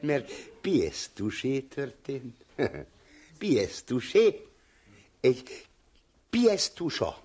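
A man doing a fussy chess player, mocking, theatrical, lower voice, swooping pitch. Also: a little mellow. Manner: mocking, theatrical, drawn-out phrases. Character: fussy male chess player lecturing his opponent. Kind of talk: 1970s radio comedy sketch.

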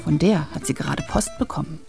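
A woman's voice: deep voice, brisk, vocal fry, darkish tone, soft background music. A woman hosting a children's radio show, chattily introducing a story straight to young listeners.

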